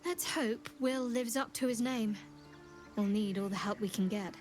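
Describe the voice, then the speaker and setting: A woman as a high-voiced fantasy character. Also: moderate pace, faint music behind, lightly acted. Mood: wary hope. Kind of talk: video game character acting.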